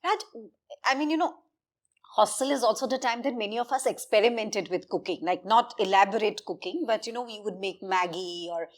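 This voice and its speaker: A woman interviewing a guest, chatty and relaxed, using "you know" a lot. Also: medium pitch, fairly quick. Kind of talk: conversational interview.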